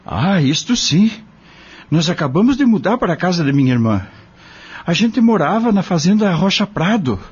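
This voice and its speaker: Um ator com sotaque brasileiro interpretando um pai humilde e preocupado do interior, em radionovela, com fala simples e queixosa. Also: male voice, sits high, big pitch swings, moderate pace, darkish tone, breathy edge, full character voice. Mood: plaintive, confiding. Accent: Brazilian. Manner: plaintive, simple colloquial speech. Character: humble, worried rural father, a dismissed farmhand. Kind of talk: audio drama acting. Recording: dull lo-fi audio.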